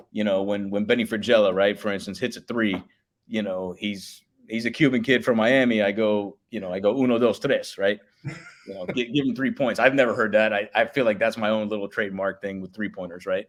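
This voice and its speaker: Man with a booming announcer voice. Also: fairly even intonation, a little fast. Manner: booming voice, slips into announcer calls. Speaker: public address announcer. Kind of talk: casual interview chat.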